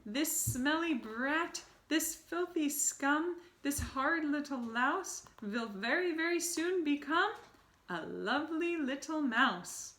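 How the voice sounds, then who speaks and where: A menacing witch queen who stretches every word, as a woman reads her, her voice lifted high and bright. She counts down slowly and with relish, loud and commanding. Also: expressive. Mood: gloating. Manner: slow, drawn-out, commanding counting. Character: scheming female witch leader, menacing.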